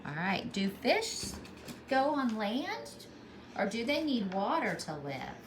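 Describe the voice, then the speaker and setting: High-voiced, unhurried woman teacher reading. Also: background noise. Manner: expressive, explains and asks simply for children. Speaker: pre-K teacher. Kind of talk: storybook read-aloud for young children.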